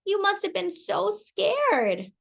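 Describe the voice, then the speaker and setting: A woman's voice: very high-pitched, huge pitch swings, takes it slowly, creaky, pitched above the performer's own voice, exaggerated voice. A woman voicing a curious, eager young girl who asks many questions.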